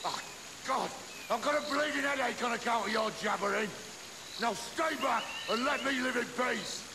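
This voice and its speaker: An actor playing a furious, half-mad marooned pirate captain in a video game, full of crude threats and taunts. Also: male voice, very high voice, normal speed, gravelly, noisy background. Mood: unhinged. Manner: growling threats and sardonic taunts. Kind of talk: dramatic video game acting. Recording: music behind the voice.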